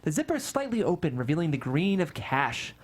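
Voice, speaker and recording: Woman narrator with animated, varied pitch. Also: a little fast, breathy edge. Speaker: narrator reading stage directions at a table read. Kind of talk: scripted screenplay narration.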